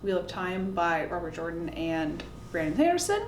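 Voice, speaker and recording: A woman's voice: big pitch swings, normal speed. A woman talking casually and informally to camera, giving opinionated recommendations in a chatty way. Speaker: book reviewer, video host. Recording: roomy echo, faint background noise and rumble.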